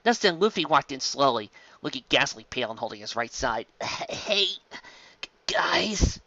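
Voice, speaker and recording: Animated woman host with a bright voice. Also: rumbling low, a little fast, dry recording, highs cut off. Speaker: host and story reader. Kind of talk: animated hosting and fan fiction narration.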